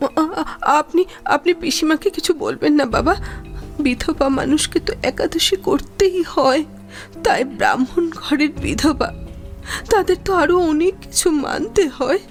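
Woman acting a timid, high-voiced young Bengali widow. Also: expressive, normal speed, faint music behind. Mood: anxious, pleading. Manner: hesitant, stammering, pleading, deferential. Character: timid, dutiful young widowed daughter-in-law. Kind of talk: Bengali audio drama acting.

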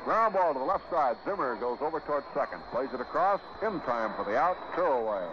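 An American man doing 1950s radio baseball play-by-play in short, measured, clipped phrases. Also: medium pitch, big pitch swings, lo-fi sound. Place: ballpark radio broadcast. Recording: noisy, band-limited 1950s radio broadcast.

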